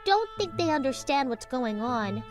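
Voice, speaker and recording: Lady doing a quiet little game cat, high and soft, kinda sad, music faint behind. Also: very animated, moderate pace. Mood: subdued. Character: small cat creature, subdued and wistful. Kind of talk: fan-dub game voice acting.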